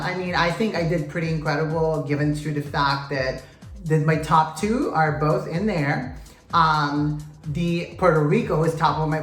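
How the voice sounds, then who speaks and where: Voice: mid-range voice, a bit bright. A pageant vlogger giving a casual recap to camera, sassy and gossipy, often calling out to viewers as "you guys" and "queens". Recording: roomy echo, faint music.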